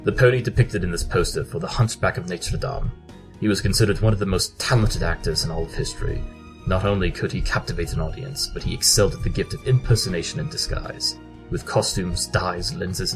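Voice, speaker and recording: Man playing a deep, pompous pony detective. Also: steady tone, fairly quick, over music. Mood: lecturing, assured. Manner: formal, precise, lecturing. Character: male pony detective, pompous, analytical, verbose, curt. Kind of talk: audio drama acting, deductive exposition.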